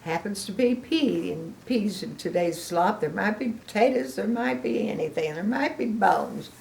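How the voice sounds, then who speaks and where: An older woman reading a picture book aloud to children, expressive, with chatty asides to the listeners. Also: mid-range voice, moderate pace, gravelly. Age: older, a grandmother.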